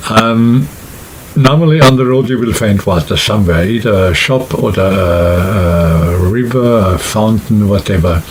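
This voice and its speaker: A man talking casually and unscripted to camera, explaining things in a rambling, halting way like a vlogger. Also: lowish voice, slight background noise, poor audio. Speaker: hiking vlogger and trip organizer.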